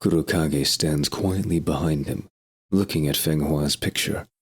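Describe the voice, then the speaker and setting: A man with a husky voice reading scripted narration for an audio drama. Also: extremely low pitch, a little slow.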